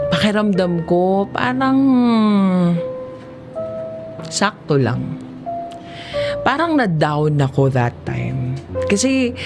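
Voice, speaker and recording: A woman with a Filipino accent narrates a first-person life story in a measured, confessional audiobook drama style. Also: lowish voice. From Philippines.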